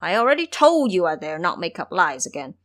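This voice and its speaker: A cowardly pig-monster fool, played by a woman in an audio storybook. He pleads and protests in exclamations, with a very lively, swinging pitch. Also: fairly quick. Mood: indignant.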